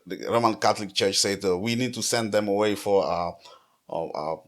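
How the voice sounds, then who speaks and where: A man's voice: on the low side, normal speed. A middle-aged man from the Democratic Republic of the Congo, a pastor and nonprofit founder, earnestly telling his life story as an interview guest and restarting his words often. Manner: earnest, repeats words when restarting, laughs easily.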